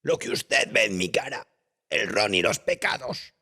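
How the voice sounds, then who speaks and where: Brief: male narrator as boozy, educated old sinner in a horror audiobook, halting and bitter, voice lifted into a breathy strain. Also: lowish voice, big pitch swings, bright, forceful tone, voice pushed up, heavily acted. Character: old boozy cultured Scottish regular, bitter and self-loathing.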